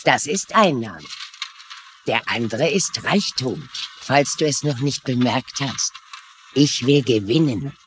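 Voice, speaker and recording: A domineering gambling boss in a TV crime drama. The voice is medium in pitch with very varied intonation and a commanding edge. Faint noise sits behind it. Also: male voice, animated, slow, a bit bright. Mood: cool, commanding. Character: domineering, ambitious gambling boss, coolly calculating. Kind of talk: dubbed TV mystery dialogue.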